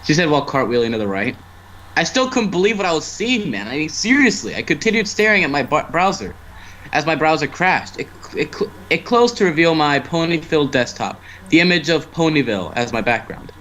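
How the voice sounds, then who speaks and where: A man's voice: on the high side, very animated, a little fast, fairly bright tone. A man narrating fan fiction casually as an amateur storyteller, now and then stumbling over the script. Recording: noisy amateur online stream.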